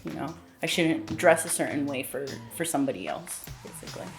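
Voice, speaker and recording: Chatty thirtysomething woman interviewee, slightly low pitch, over a clear music bed. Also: animated, a little fast. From Southern California. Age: 30s. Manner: casual, chatty, many fillers, laughs easily. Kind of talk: candid sit-down interview.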